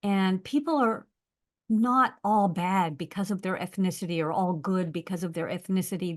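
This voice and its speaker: An American woman with a somewhat low voice speaks in a webinar over a video call. She talks hesitantly, pausing often on filler words. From United States. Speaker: university lecturer. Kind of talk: webinar talk.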